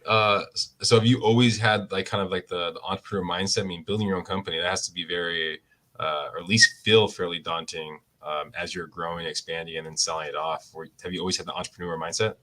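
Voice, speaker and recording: A man with a low, deep voice hosting a casual business podcast. He asks his questions in a loose, rambling way and laughs easily between them. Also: steady tone, fast, pushed and bright. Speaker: podcast host.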